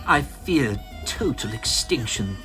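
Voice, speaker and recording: An actor playing a small, bitter, frantic mad professor in a vintage comic audio drama, agitated, halting and melodramatic. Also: male voice, mid-range voice, lively intonation, sharp tone, faint music behind. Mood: haunted recounting.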